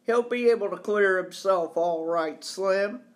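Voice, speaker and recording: A man's voice: high voice, measured pace, voice pushed up. A man voicing a loyal teenage boy sleuth in a storybook, earnest and reassuring.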